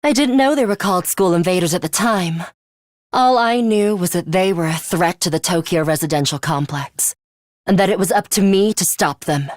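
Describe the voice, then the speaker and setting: A woman's voice: lowish voice, normal speed, no room sound, studio quality, close to an everyday voice. A woman voicing an aloof, scornful young female fighter with a sadistic streak in a video game, curt and condescending in manner. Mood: cool, confident. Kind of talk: English video game voice acting.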